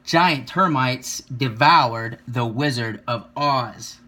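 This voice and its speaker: A man reading a children's picture book aloud, with expressive, rhythmic delivery and drawn-out emphasis. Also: mid-range voice, a little hiss or hum, low-quality recording. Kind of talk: children's story read-aloud.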